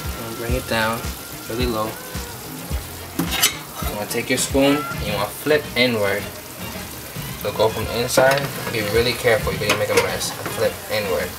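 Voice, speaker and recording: A man's voice: lowish voice, moderate pace, pauses often. Laid-back man teaching cooking, distant mic. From Puerto Rico. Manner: laid-back, chatty step-by-step instructions. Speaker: cooking show host. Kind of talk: casual cooking demonstration. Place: home kitchen. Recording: distant mic under loud music.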